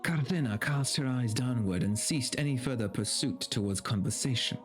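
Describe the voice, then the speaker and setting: A man's voice: medium pitch, normal speed. A man streaming, chatting in a rambling, informal way that is loose with swearing, and reading a story aloud. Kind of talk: casual livestream chat and dramatic story reading. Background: background game music.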